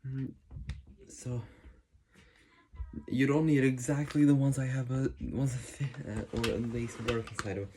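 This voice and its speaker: A man hosting a casual hands-on how-to video indoors, explaining in a halting, informal way. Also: mid-range voice, steady tone, mellow. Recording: noisy room with clicks and handling sounds.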